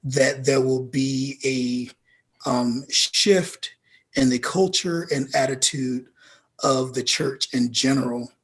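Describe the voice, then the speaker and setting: A man giving measured, critical commentary as a panelist, with deliberate and formal wording. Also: mid-range voice, steady tone, a bit bright, husky.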